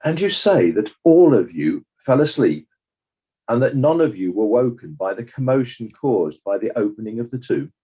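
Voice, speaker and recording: A man's voice: mid-range voice, lively intonation, warm tone, slightly rough, poor audio. A man playing a stern, sceptical coroner who questions witnesses formally in a dramatized inquest. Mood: sceptical, probing. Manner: formal, measured, probing. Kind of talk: scripted courtroom drama.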